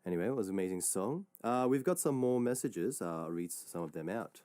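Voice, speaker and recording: A man's voice: lowish voice, brisk, smooth, dry recording. A man, hosting a radio show and chatting casually between listener messages, rambling with lots of fillers. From Australia. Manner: relaxed, rambling, many fillers and restarts. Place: radio studio. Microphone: studio microphone.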